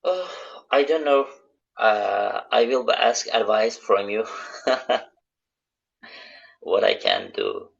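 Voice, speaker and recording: Non-native english guy, slow and halting, low gravelly voice, dull lo-fi call sound. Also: very animated. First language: not English. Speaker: live-stream guest, hotel receptionist. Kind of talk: casual live chat.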